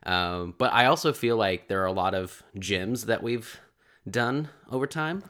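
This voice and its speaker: A man's voice: on the low side, lively intonation, a little slow, fairly bright tone. A man co-hosting a podcast in casual chat, easygoing and supportive, with jokes. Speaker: podcast co-host.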